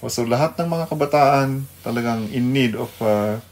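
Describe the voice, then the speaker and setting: A male Filipino pastor hosting a devotional radio program, speaking haltingly and searching for words. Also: medium pitch, darkish tone. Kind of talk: devotional talk mixing Tagalog and English.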